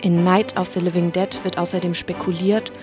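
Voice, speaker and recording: A woman's voice: lowish voice, flat delivery, a little fast. A woman narrating a scripted radio feature as interviewer and presenter. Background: music.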